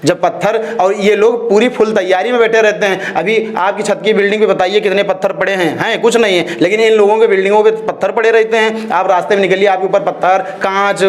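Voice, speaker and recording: A man's voice: sits high, fairly even intonation, brisk, hardly any pauses. A man giving opinionated interview answers, emphatic and argumentative, repeating phrases and throwing out rhetorical questions. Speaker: interviewee, social activist. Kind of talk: opinionated street-interview talk.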